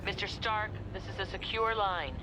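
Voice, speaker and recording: A woman voicing a brisk, no-nonsense military agency officer in a video game, curt and official. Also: medium pitch, background noise, natural voice. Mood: stern. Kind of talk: video game cutscene acting. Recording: echoey, under loud music.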